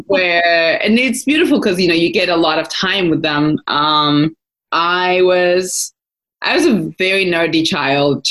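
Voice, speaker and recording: A woman's voice: deep voice, a bit bright, rough recording. A young adult woman from Ethiopia, a company founder, talking casually as an interview guest, thoughtful and full of fillers and restarts.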